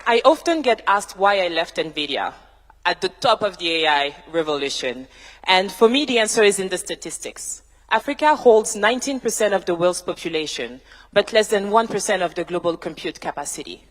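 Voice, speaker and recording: A woman, a tech founder giving a measured, emphatic panel answer built from rhythmic lists. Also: on the low side, animated. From France. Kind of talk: prepared keynote-style panel answer. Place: large university hall, on stage. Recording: reverberant large hall.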